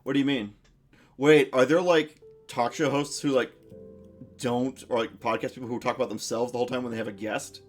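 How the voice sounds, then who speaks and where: A young man talks casually on a stream in a masculine voice that sits at an ordinary middle pitch. He muses aloud, wandering through thoughts with restarts and fillers. Faint music plays behind him. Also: steady tone, brisk. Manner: rambling, reflective, many restarts and fillers.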